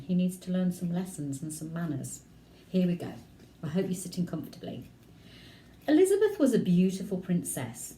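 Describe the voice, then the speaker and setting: A woman's voice: fairly low pitch, mellow, slight background noise. A woman reading a storybook aloud expressively, like a primary school teacher talking directly to young listeners. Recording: roomy echo, distant microphone.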